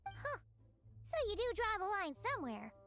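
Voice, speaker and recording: A woman voicing a tiny, excitable, cheeky fairy companion with a squeaky childlike voice in an anime game. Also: moderate pace, gravelly, heavily acted. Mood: skeptical. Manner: refers to self in third person, exclamatory.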